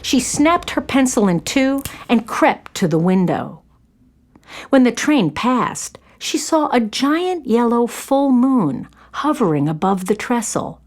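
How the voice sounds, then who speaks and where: A woman's voice: fairly high pitch, big pitch swings, slow pace, darkish tone. A woman narrating a scripted story for children.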